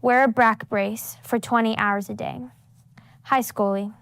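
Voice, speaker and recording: A woman's voice: a little high, steady tone, measured pace, vocal fry, a bit bright, clean tone, no echo. A woman reciting her own poetry as a student poet.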